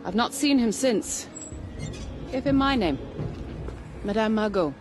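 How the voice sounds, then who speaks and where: A woman's voice: a little high, lively intonation, measured pace, over music. An actress playing a worried, bitter townswoman in a video game, urgent and plaintive. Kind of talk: dramatic video game acting.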